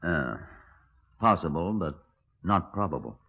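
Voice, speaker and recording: Wry British police superintendent, animated, tinny old radio. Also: male voice, deep voice, unhurried, gravelly, a little hiss or hum, heavily acted. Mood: considered. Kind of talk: 1950s radio drama acting. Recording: tinny, narrow-band vintage radio recording.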